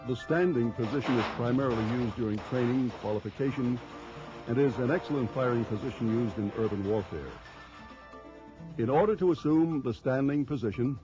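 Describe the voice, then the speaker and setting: An American man reading scripted instructional narration for a training film in a measured, formal manner. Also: medium pitch, warm tone. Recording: dull lo-fi training film soundtrack.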